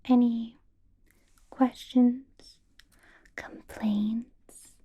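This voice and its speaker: Woman playing a slow, whispering vampire boss. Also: fairly high pitch, flat delivery, full character voice. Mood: soothing, pleased. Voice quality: breathy, soft whisper. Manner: slow, deliberate, coaxing, with lingering pauses. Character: possessive, seductive female vampire boss, politely menacing. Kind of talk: ASMR roleplay, personal attention.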